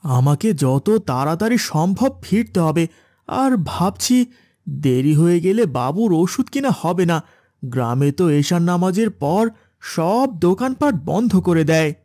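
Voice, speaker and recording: A man's voice: sits high, very animated, unhurried, mellow, no echo, studio quality, heavily acted. A man playing an honest village van driver and worried father, polite and folksy, in a horror cartoon. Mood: anxious, determined. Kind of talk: Bengali horror cartoon dialogue.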